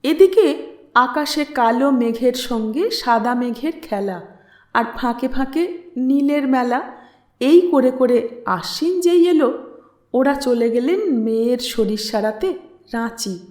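A woman reading a literary story aloud in an expressive, dramatic and measured narrating style. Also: high voice, echoey room. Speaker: audiobook narrator and channel host.

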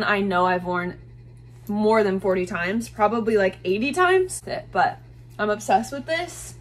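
A young woman chats straight to a close camera from her bedroom, bubbly and gushing, dropping "like" every few words. A faint hum sits under her voice. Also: mid-range voice. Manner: chatty, gushing, many "like" fillers. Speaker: fashion vlogger. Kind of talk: casual thrift haul talk to camera. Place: small bedroom with bed, indoors.

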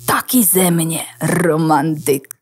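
A woman's voice: low-pitched, takes it slowly, slightly rough, full character voice. A woman playing a cheeky, romantic, risk-loving loose computer contact in a children's audio drama, slangy and theatrical. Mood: teasing, dreamy.

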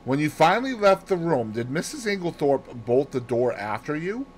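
A man's voice: on the high side, sing-song, slight background noise, pitched above the performer's own voice. Man voicing a fussy, lilting detective. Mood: methodical questioning. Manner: precise, deliberate, peppered with French phrases. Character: fussy, placid Belgian male detective, growing old. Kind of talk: audiobook character dialogue.

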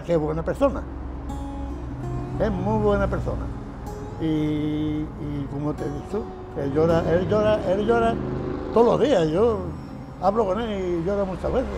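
An older man, a former patient, answering interview questions in plain, repetitive speech with frequent chuckles, outdoors on a patio. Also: high voice. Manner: plain-spoken, repeats phrases, chuckles often. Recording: music bed underneath. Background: background music.

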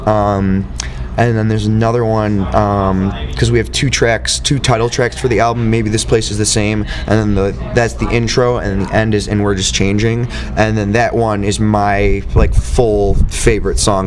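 Relaxed young man rambling with fillers. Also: on the low side, moderate pace, crowd noise. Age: young adult. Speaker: band member. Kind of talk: casual interview answers. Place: living room, on a couch. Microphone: far camera mic.

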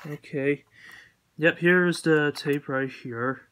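Male collector, slightly higher register, unscripted home video update, matter-of-fact, repetitive and halting. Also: a bit bright. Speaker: collector showing purchases.

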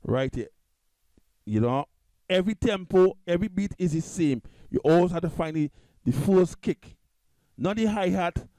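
A man with a Trinidadian accent, talking loosely through a music software tutorial at his computer, repeating himself and restarting often. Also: sits high, expressive, normal speed, dry recording. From Trinidad and Tobago. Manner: loose, repetitive, restarts a lot. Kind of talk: casual screen-recorded software tutorial.

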